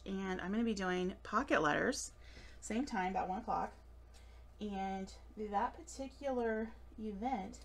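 Chatty woman with a gravelly edge. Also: mid-range voice, slight background noise. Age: late 30s. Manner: chatty, giggly, self-deprecating asides. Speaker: craft store demonstrator. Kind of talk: live craft demonstration. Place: indoor craft table. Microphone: overhead camera above table.

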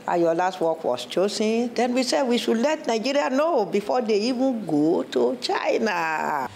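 A woman's voice: on the high side, animated, unhurried, gravelly, a little mellow. A woman speaking off the cuff as a gallerist being interviewed. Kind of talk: spontaneous interview. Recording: background noise in gallery.

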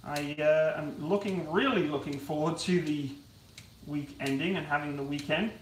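A man's voice: fairly high pitch, moderate pace, slight background noise. A young man chatting casually on a live stream while cooking in his home kitchen, rambling and self-deprecating. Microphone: camera on tripod across the kitchen. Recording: roomy, distant kitchen sound.

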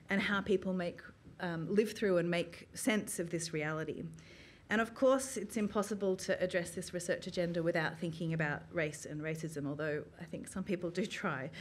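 A woman in her thirties, an academic, giving a prepared public talk from notes in a small room, measured and earnest, with frequent ums. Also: fairly low pitch. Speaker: academic speaker. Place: small gallery room with an audience, indoors. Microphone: stand mic, filmed from the audience.

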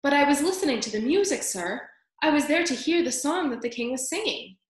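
A woman's voice: high voice, lively intonation, a little fast, reverberant, pitched above the performer's own voice. A woman voicing a small, dreamy, lovestruck young male mouse who speaks earnestly and politely in a storybook. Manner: earnest, deferential.

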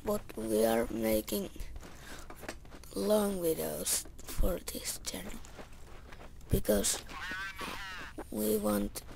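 Hoarse Finnish-accented woman gaming. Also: mid-range voice, sing-song, noisy background. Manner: halting, searching for words, many fillers. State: hoarse, voice worn from yelling. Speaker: gaming channel player. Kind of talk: casual gameplay commentary. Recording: home mic with game gunfire behind.

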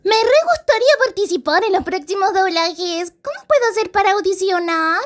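A woman voicing a childlike, eager cartoon creature, gushing and cutesy, with an Argentine accent in an animated fan dub. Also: very high voice, moderate pace. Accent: Argentine Rioplatense.

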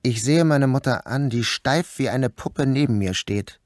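A man's voice: mid-range voice, measured pace, a little mellow, dry recording, clean studio sound, lightly acted. A man acting a troubled, bewildered son who narrates in a literary first-person radio drama. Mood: dreamlike, brooding. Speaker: narrator and protagonist.

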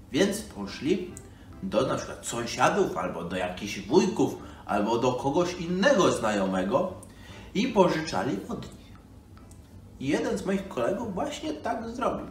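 A man's voice: sits high, darkish tone. A man playing a nostalgic old storyteller, rambling folksily to children with hesitations and sighs. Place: living room by a fireplace. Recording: roomy, distant microphone, music behind.

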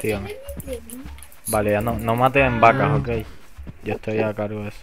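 A man from Cuba chatting casually while playing a block-building game, relaxed and friendly. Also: lowish voice, moderate pace, over music. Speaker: streamer. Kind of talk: casual gaming chat.

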